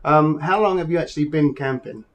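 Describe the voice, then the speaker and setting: A man's voice: a little high, brisk, fairly bright tone, lo-fi sound. A man from the United Kingdom hosting a show, asking interview questions in a friendly, self-deprecating way with hesitant restarts.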